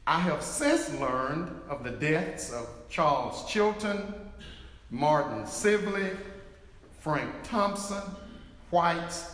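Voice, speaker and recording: Slow, formal actor as male eyewitness, echoey hall. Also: on the high side, expressive, a bit bright. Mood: grave, measured. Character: male eyewitness giving grave testimony of an 1875 riot. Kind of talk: dramatic reading of historical testimony.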